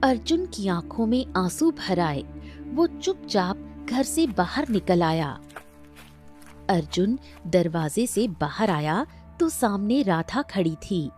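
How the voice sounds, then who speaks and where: A woman's voice: medium pitch, very animated, takes it slowly, darkish tone, soft background music. A woman narrating a scripted moral story in storybook style.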